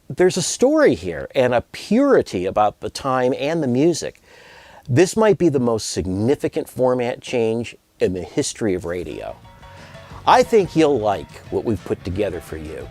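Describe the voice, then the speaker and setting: A man's voice: medium pitch, darkish tone, no room sound. A man presenting a scripted promotional narration as the person behind a music archive project. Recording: music bed under voice.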